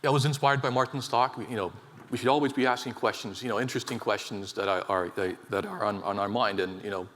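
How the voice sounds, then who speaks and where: Finnish-born man giving a halting hall talk. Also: on the low side, fairly even intonation, fairly bright tone. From Finland. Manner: halting, many fillers and repeated words. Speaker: conference speaker, associate professor. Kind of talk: technical conference talk. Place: large conference hall. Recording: reverberant hall, faint ambience.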